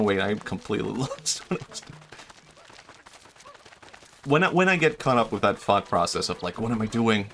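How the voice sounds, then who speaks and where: A man's voice: lowish voice, a bit bright, a little hiss or hum. A young man streaming a game, chatting casually and thinking aloud, often trailing off and restarting his sentences. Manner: rambling and self-reflective, trails off, many fillers and restarts. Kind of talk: casual chat while gaming.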